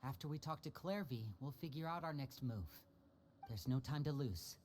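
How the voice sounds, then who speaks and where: A man's voice: mid-range voice, normal speed, a little mellow, slight background noise, natural voice. A man voicing a confident, scheming young older brother in a video game, showy and persuasive. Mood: resigned, decisive.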